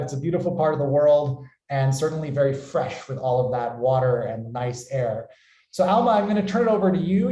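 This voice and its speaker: An American man presents an online webinar in an enthusiastic way. His voice sits a little high with a soft, mellow tone. The room around him adds a clear echo. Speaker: company founder hosting a webinar. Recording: roomy, echoey sound.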